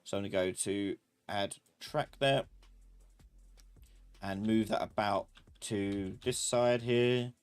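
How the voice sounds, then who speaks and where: A man teaching a live software class, thinking aloud in a casual, informal way as he explains step by step. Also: fairly low pitch, steady tone, slow pace, long pauses, smooth.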